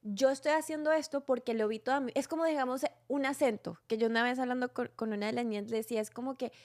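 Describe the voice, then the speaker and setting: A young woman talking as a guest about her faith, reflective and halting, restarting her sentences often. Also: fairly high pitch, fairly even intonation, a little mellow. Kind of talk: casual faith conversation.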